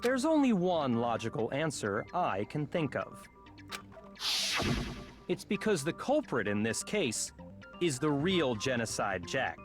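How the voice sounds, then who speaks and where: A woman voicing a cool, composed teenage detective in an anime video game, deliberate and matter-of-fact. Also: very deep voice, big pitch swings, heavily acted. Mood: composed, certain. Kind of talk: dramatic video game character reading. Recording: game music behind voice.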